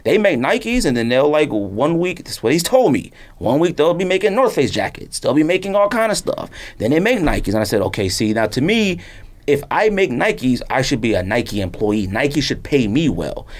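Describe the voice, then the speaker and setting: A man's voice: on the high side, big pitch swings, normal speed. A middle-aged man hosting a podcast, talking casually and emphatically, rambling and repeating himself to stress his opinions. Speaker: sneaker podcast host. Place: podcast studio.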